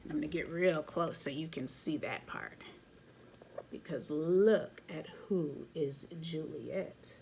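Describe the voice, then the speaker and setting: A woman reading a children's picture book aloud as a teacher would, expressively, pausing to comment to young listeners. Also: low voice, vocal fry, a little mellow, slight background noise.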